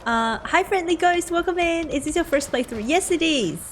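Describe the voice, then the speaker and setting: A woman with a Mandarin accent and Mandarin as her first language, chatting casually and haltingly with her chat while streaming a game. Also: very high-pitched, expressive, a little hiss or hum. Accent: Mandarin-accented English. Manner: halting, chatty, answers chat with many restarts. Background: game music.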